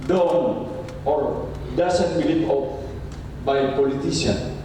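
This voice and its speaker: An older man, a native Indonesian speaker, giving a closing speech as a professor, pausing often with "uh". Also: a little high. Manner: halting, with frequent "uh" pauses. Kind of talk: speech at a lecture podium. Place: university lecture hall. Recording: echoey hall from far off, noisy.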